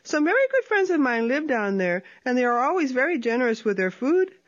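Woman doing a high, chirpy opossum trickster. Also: big pitch swings, brisk. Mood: sly cheerful. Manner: sweet-talking, chirpy, switches Spanish and English. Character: sly cheerful male opossum trickster. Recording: dull lo-fi recording.

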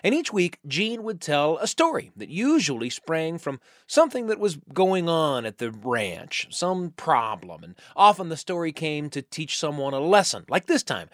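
A man hosting a radio show, narrating in a conversational, folksy storytelling style with dramatic pauses, close on the microphone. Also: a little high, fairly bright tone, no echo. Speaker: radio host. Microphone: close studio microphone.

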